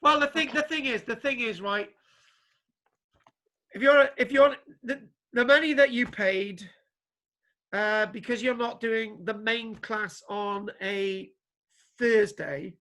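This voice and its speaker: A man lecturer from Wales talking casually to his online class, halting with many restarts and fillers. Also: very high-pitched, pushed and bright. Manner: halting, full of restarts and ums. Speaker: archaeology lecturer. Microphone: video call. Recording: spotty video call connection.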